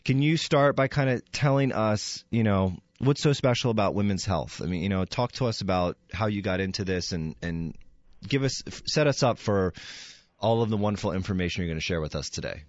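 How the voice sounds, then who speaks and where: A man with a hoarse voice, hosting an interview in a relaxed, chatty and halting way. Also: on the low side, dry recording, muffled recording. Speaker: show host. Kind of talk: casual interview.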